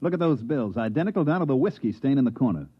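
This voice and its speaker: Male, hardboiled sci-fi radio investigator: dry, cocky, rapid patter, muffled vintage sound with music. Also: mid-range voice, expressive, husky. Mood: wry, brisk. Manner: dry wisecracking, hardboiled delivery. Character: wry, cocky male government agent narrating his own case. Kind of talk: 1950s radio drama acting.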